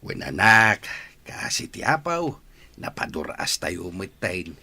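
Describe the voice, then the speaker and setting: A man's voice: low-pitched, sing-song, unhurried, creaky, sharp tone, exaggerated voice. A man with a Filipino accent playing a patient, fair-minded middle-aged farmer father in a radio drama. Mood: earnest, advising.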